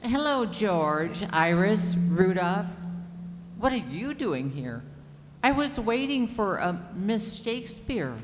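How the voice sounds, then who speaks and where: A woman's voice: mid-range voice, big pitch swings, slow. An actress playing a businesslike woman who works at a radio station, in a radio comedy. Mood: surprised. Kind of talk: radio sitcom acting. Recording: hall reverb, faint noise.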